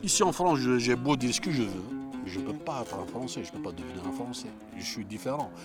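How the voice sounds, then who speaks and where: A man's voice: mid-range voice, animated, fast. Guy explaining a song, kinda earnest, repeats himself a lot, guitar music under it. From Algeria. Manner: earnest, repeats himself and restarts, rising emphatic stresses. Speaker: interviewee. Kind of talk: reflective explanation.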